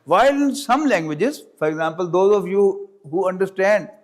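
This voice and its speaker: A male Arabic teacher, not a native English speaker, giving a classroom lecture slowly and deliberately, repeating points and checking understanding. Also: high voice, animated. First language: not English; Urdu is his second language.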